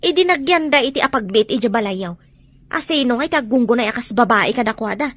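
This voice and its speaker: Woman acting a high, swooping, nosy relative. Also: expressive, fairly quick, fairly bright tone, no room sound. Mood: nosy, teasing. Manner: inquisitive, teasing. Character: nosy, teasing female relative. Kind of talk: Ilocano audio comedy drama acting.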